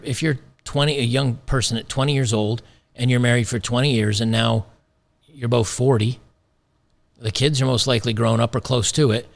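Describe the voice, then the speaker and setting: Gravelly-voiced man ranting on commentary. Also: mid-range voice. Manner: emphatic, repeats phrases, sarcastic. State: slightly losing his voice. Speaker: commentary channel host. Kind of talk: opinionated commentary.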